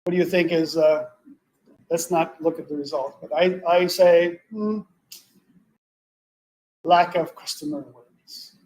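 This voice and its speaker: A man with a fairly high voice delivers a semi-scripted product pitch as a webinar expert. His delivery is halting, with slightly non-native English phrasing. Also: fairly even intonation, darkish tone, rough recording. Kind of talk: semi-scripted sales presentation.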